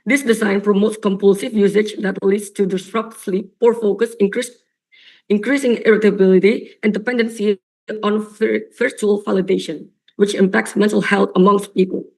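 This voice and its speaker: A young woman delivers a competitive debate speech, her voice raspy from a coughing fit. She argues earnestly and emphatically, circling back and repeating phrases for stress. Her pitch sits in an ordinary middle range. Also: flat delivery, moderate pace, darkish tone, rough recording. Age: young adult. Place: online or stage debate venue.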